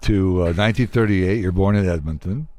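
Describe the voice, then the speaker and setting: A man's voice: low-pitched, a little fast, a little mellow, no room sound. A man hosting a radio show, chatting casually and informally as he interviews a guest with joshing familiarity.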